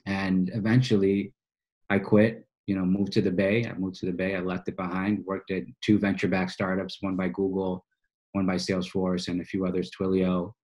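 A man's voice: low-pitched, little pitch movement, moderate pace, a little mellow, highs cut off. A man in his early thirties from Boston, telling his story in casual, slangy speech as a podcast guest. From United States, Boston. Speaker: podcast guest, financial coach. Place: small office, indoors, on a video call.

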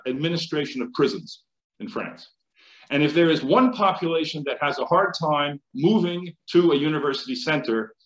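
Hesitant American man on a video call. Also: mid-range voice. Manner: hesitant, many fillers and restarts. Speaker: panelist, chemical engineering professor. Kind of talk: conference panel remarks. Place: home office. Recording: roomy video call audio.

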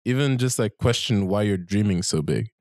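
A young man with a fairly low voice hosts a relaxed-format chat. He reflects informally, often checking in with "right?" and leaning on "like". The handheld mic gives a dry sound. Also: brisk, no echo. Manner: informal, tags points with "right?" and "like". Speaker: talk show host. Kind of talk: casual conversational reflection. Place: small barbershop, indoors. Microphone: handheld microphone.

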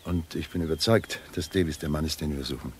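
A low-voiced, shrewd secret-service doctor, dry and sardonic. He is a measured reactionary from a radio play, heard with faint background noise. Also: darkish tone. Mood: coolly insistent. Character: shrewd, cynical, reactionary male intelligence doctor. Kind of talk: German radio play acting.

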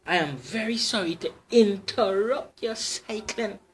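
A man's voice: high-pitched, expressive, measured pace, slight background noise, pitched above the performer's own voice. A man voicing a seductive female spirit in a red gown. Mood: genteel. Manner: coaxing, dainty feminine lilt. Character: seductive shape-shifting she-devil in red gown.

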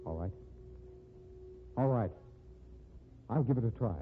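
An actor playing a wounded, nervous ex-convict in 1950s radio style, with halting, intense delivery. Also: male voice, medium pitch, lively intonation, lightly acted. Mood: anxious, reluctant. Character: wounded, nervous ex-convict man back from ruin. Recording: tinny, noisy 1950s radio recording.

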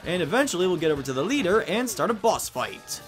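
A man's voice: sits high, big pitch swings, fast talker. A man casually commenting on a video game as he plays, rambling with jokey asides. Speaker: let's play host. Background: game music.

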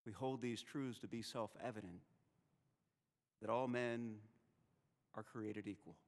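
A man in his thirties delivers a sermon into a lectern microphone. His preaching is measured and rhetorical, with dramatic pauses. The long reverb of a chapel hall trails behind his words. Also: fairly low pitch, darkish tone. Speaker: seminary president preaching in chapel. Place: chapel with stone wall, at a wooden lectern.

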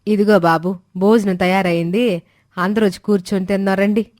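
A woman voicing a kind, hospitable village mother-in-law who talks gently. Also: mid-range voice, fairly even intonation, normal speed, dry recording, clean studio sound, lightly acted. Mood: gentle.

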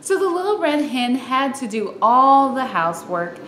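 A young woman reading a children's storybook aloud in an expressive, explanatory way, in a room at home. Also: high voice, moderate pace, roomy sound, slight background noise, low-quality recording. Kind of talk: storybook read-aloud with casual asides. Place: small room at home, by a window.

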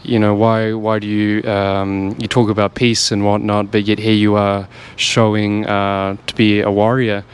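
A man in his thirties with a New Zealand accent, interviewing a guest in a large indoor gym and affirming him between quick questions on a handheld mic. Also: fairly low pitch, monotone, smooth. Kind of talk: casual interview.

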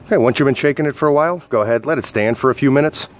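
A man's voice: medium pitch, rapid-fire, slight background noise. A man reviewing gear in an informal, hesitant, step-by-step instructional way, as a casual demonstration. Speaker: product reviewer and outdoor coach.

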